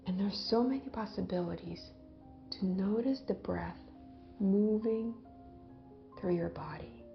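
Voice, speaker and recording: A woman leading a guided visualization in a gentle, hypnotic way, with long pauses and soft phrase endings that trail off toward a whisper. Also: medium pitch, creaky, lo-fi sound. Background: background music.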